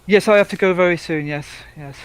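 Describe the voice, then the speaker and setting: Welsh-accented male guest, native Welsh speaker, high-pitched and playful, chatting on a livestream panel. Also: fairly quick, smooth. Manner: jokey, laughs between lines. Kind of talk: casual online panel chat.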